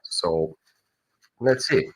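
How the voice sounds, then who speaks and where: A man with a Finnish accent doing a live tarot reading, halting and searching for words as he goes. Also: deep voice, expressive, a little hiss or hum. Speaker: tarot reader.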